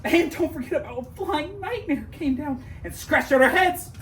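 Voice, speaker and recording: A woman's voice: high-pitched, animated, moderate pace, fairly bright tone. A teenage girl playing a jumpy, dim, easily cowed robber henchman, melodramatic, in a student reader's theater performance. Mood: frightened, pleading. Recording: noisy live room recording.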